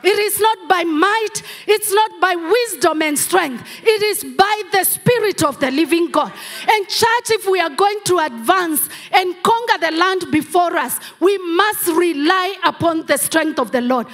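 A woman's voice: extremely high pitch, animated, measured pace, hardly any pauses. A middle-aged Kenyan woman whose first language is Kamba, preaching a sermon from a church stage into a handheld mic, with emphatic repeated phrases. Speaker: pastor. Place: large church hall, on stage.

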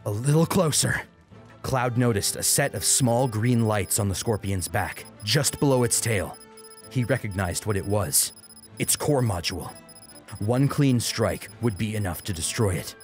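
A man's voice: on the low side, lively intonation, unhurried, soft background music. A man narrating an action story as an audiobook, in a dramatic scripted style.

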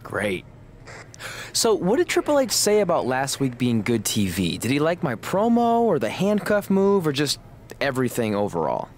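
Animated, eager young wrestler. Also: male voice, medium pitch, normal speed, a little hiss or hum. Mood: eager, confident. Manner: upbeat, self-absorbed. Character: eager, self-assured young wrestler man. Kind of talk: video game cutscene acting.

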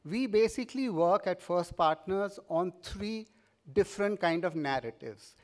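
A man's voice: high voice, expressive, measured pace. A middle-aged man with an Indian English accent giving an urging keynote speech at a podium mic on a large conference stage, with fillers and repeated words. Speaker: keynote speaker.

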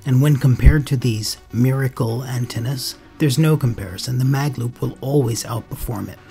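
A man's voice: medium pitch, normal speed, mellow. Male radio-hobby narrator over music. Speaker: amateur radio channel host. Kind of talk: scripted tutorial narration.

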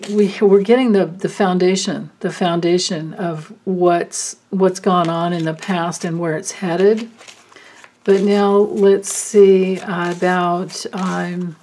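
Slow, musing woman reading cards. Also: lowish voice, steady tone, darkish tone, a little hiss or hum. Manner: slow, musing, thinking aloud. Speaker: card reader. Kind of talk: casual card reading with pauses and fillers. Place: indoors at a table, hands and cards filmed from above.

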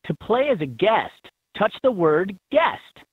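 A woman's voice: mid-range voice, sing-song, takes it slowly, breathy edge, no room sound, full character voice. A woman voicing an encouraging instructor in a children's animal game, giving scripted instructions in slightly dull game audio. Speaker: educational game voice.

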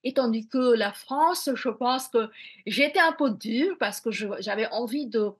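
A woman's voice: fairly high pitch, unhurried, breathy edge. A middle-aged woman from India whose first language is Bengali, answering interview questions on a video call from a small room at home, reflective, with many fillers and restarts. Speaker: author.

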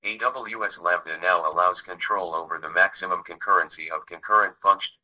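Male computer voice, deep and husky, text-to-speech read, dull distant playback. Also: fairly even intonation, brisk, sharp tone. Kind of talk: synthetic text-to-speech reading.

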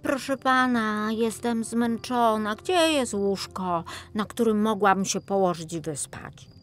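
A woman's voice: medium pitch, a little slow, faint music behind, voice pushed up, lightly acted. A woman voicing a tired young girl who speaks politely. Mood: weary, polite. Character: tired young woodcutter's daughter, politely asking.

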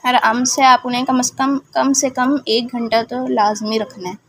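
Woman cooking host, even and homely delivery, phone-recorded in her kitchen with background hum. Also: a little high, normal speed. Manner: homely, repeats "ठीक है" and "ये देखें", explains patiently. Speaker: home cooking channel host. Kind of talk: step-by-step recipe narration. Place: home kitchen.

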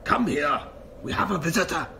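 A man playing a gruff, suspicious Scottish crofter in a comic radio play, blunt and terse. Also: fairly high pitch, lively intonation, normal speed, pushed and bright, heavily acted. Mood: brusque, bossy. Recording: faint noise, homemade recording.

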